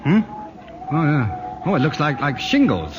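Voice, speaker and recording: An actor playing a harried, middle-aged city ad man, brisk and exasperated, in 1940s radio acting. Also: on the high side, huge pitch swings. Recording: dull old radio broadcast with music.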